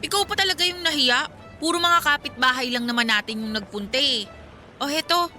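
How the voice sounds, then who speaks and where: A woman's voice: sits high, fairly quick, pushed and bright, soft background music. An actress playing a friendly, teasing young woman chatting with her best friend in a Filipino radio drama. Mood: playfully teasing.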